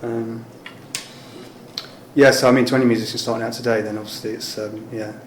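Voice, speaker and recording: Male interviewer, mid pitch, monotone, informal and tentative; roomy recording with some noise. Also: brisk. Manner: tentative, loosely phrased questions, agreeable. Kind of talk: casual conversational interview. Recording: echoey room with background noise.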